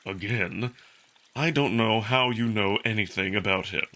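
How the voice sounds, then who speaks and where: A man's voice: lowish voice, measured pace, pushed and bright. A man voicing a big, hot-tempered, protective male wolf alien in a visual novel, speaking in a stern, curt, indignant way. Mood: angry. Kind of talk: visual novel character acting.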